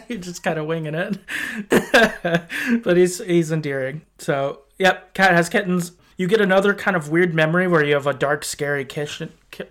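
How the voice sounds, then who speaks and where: A man's voice: high-pitched, moderate pace, fairly bright tone. A man hosting a podcast, talking casually and a little hesitantly as he recaps a video game's story. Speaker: podcast host.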